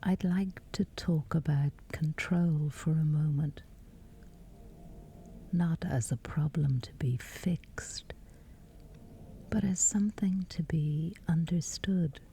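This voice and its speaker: A woman leads a guided sleep meditation in a very dark, mellow voice with a creaky edge. She speaks in a hushed whisper, extremely slowly, leaving long pauses between phrases. The delivery is scripted and unhurried. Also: deep voice.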